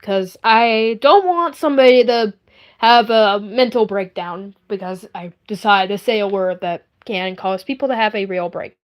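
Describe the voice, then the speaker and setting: A woman's voice: medium pitch, normal speed. A young woman chatting casually on a live stream in a rambling, hesitant way, often repeating herself.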